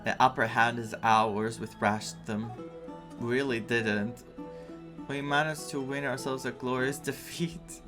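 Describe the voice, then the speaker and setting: A game streamer talks slowly and casually over a story playthrough. The commentary is reflective and emotive, with some dialogue read aloud. Music plays softly behind. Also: medium pitch, steady tone.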